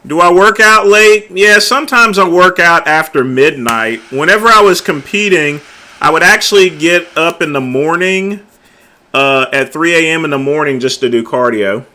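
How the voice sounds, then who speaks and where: A middle-aged man hosting a casual late-night livestream, talking loudly and easygoing, chatting with his viewers. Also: a little high, moderate pace. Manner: loud, laid-back, drawls filler words like "there". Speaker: livestream host.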